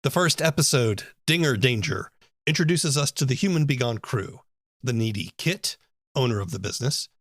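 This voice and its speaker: A man's voice: medium pitch, no room sound. A man hosting a podcast, reading scripted narration into a close microphone. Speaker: podcast host.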